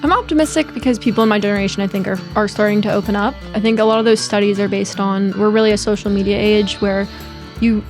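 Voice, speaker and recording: A woman's voice: medium pitch, steady tone, fast talker, music in the background. A young woman from Connecticut in her twenties, a guest on an interview show, answering in an earnest, upbeat way with frequent ums and restarts. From Connecticut, United States. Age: 20s. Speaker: guest, former college swimmer and advocate.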